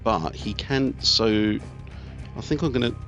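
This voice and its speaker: A man's voice: lowish voice, measured pace, fairly bright tone, muffled recording. A man giving casual live commentary on a game, thinking aloud as he talks through his tactics. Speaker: let's play host. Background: video game music, artillery fire and vehicles.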